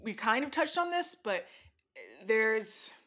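A woman talking animatedly in a casual conversation, with quick asides and easy laughter. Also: a little high, animated, a bit bright.

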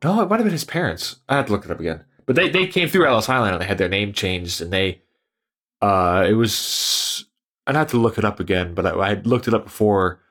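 A man's voice: fairly low pitch, brisk. A man chatting casually on a podcast, rambling and correcting himself as he goes. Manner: rambling, self-correcting, lively. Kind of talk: casual chat.

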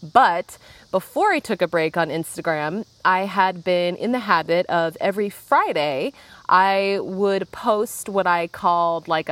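A young woman talks through a relaxed solo podcast from her backyard, rambling happily with plenty of "like" and side notes. Her voice sits on the low side for a woman, and the recording is dry and close. A lingering cold leaves her with an occasional cough. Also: dry recording. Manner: chatty, rambling, frequent "like" and asides. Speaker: podcast host. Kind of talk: casual solo podcast chat. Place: outdoors in a backyard.

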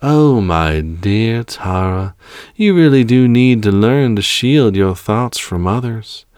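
A man playing a calm, sinister young male villain in a story, talking in a smooth, drawn-out, condescending way. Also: on the low side, no room sound, clean studio sound, voice pushed up, lightly acted.

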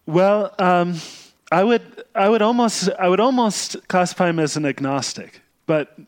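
A man who is a monk, raised between Austria and the U.S., speaking as a guest on a podcast. He is thoughtful and often pauses to search for words. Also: high-pitched. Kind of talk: podcast interview.

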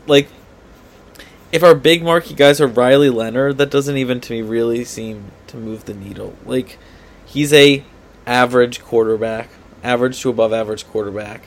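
A man co-hosting a sports podcast in casual debate, often hedging and repeating his points for emphasis. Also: mid-range voice.

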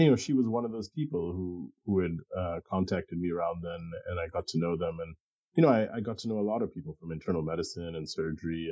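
Low, husky, mellow middle-aged man. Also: no echo, muffled recording. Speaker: pathologist, interview guest. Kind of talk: casual, reflective interview conversation.

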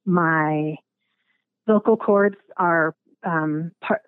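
A raspy-voiced woman from Alaska talks casually as a podcast guest, pausing on ums and circling back on her words. Her voice comes through a thin, phone-like line with no room sound around it. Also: lowish voice, dry recording. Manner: halting, many ums and restarts. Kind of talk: casual interview.

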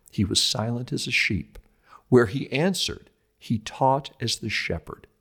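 Husky, animated man reading a religious commentary. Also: on the low side. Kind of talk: scripted reading of an old sermon.

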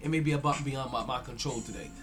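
A man's voice: mid-range voice, quick pace, music in the background. A man hosting a sports podcast in a hyped, slangy way, a bit stuffy-nosed from allergies and repeating his words. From South Florida. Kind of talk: casual sports talk.

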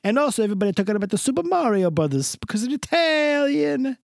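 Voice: high voice, very animated, fast, gravelly, no echo, studio quality, heavily acted. A podcast co-host putting on an effusive middle-aged Italian woman who speaks broken English in a mock Italian accent and keeps gushing. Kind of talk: comic character bit in casual chat.

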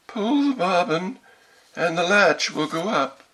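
A man performs a frail, bedridden old grandmother in a fairy tale. The calls are drawn out and pitched far up, swooping, with a husky grain. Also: lively intonation, sharp tone, full character voice. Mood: querulous calling. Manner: crying out shrilly, drawn-out.

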